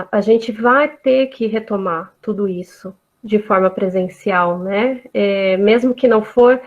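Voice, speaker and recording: Slow-speaking woman teacher, tinny call audio. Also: mid-range voice. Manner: reassuring, many tag questions. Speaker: Waldorf teacher answering parents. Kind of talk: online talk answering questions.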